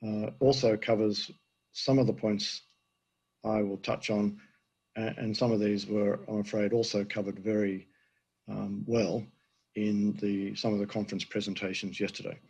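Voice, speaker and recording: An older man, an emeritus professor, giving a conference presentation with a hoarse voice he is losing, halting with many fillers. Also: lowish voice, flat delivery, a little mellow, poor audio. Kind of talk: conference presentation over video.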